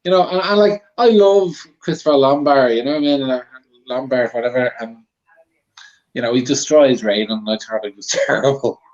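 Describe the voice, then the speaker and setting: A man's voice: mid-range voice, brisk, a little mellow, lo-fi sound. A man chatting casually as a guest panellist, giving blunt opinions in an informal way.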